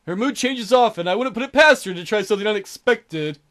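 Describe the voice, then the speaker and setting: A cold, scheming kidnapper boss, put on by a man who keeps his threats smooth and polite. The voice sits fairly high for a man, with no growl to it. The delivery is calm and unhurried. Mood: calm menace.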